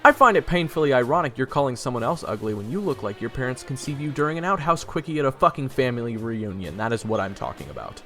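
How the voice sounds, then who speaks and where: A man playing a sarcastic young traveler who narrates his own adventure in a wry, expressive, snarky way. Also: mid-range voice, fast, hardly any pauses. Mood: mocking. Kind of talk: first-person dramatic narration and dialogue. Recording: roomy echo with long reverb, music behind.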